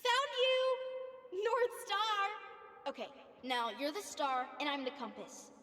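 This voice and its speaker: A small child in a video game memory, playful and bickering. The voice is high and swoops about, washed in long reverb. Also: female voice, sing-song, slow, a bit bright, heavily acted. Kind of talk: video game flashback dialogue. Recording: echoey, long reverberant flashback sound.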